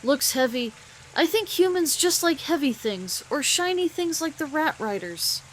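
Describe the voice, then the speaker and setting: A woman's voice: high voice, expressive, a little slow, a little hiss or hum. A woman playing a small, plucky, kind-hearted female gnome adventurer in a dramatized fantasy audio story. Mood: curious, brisk.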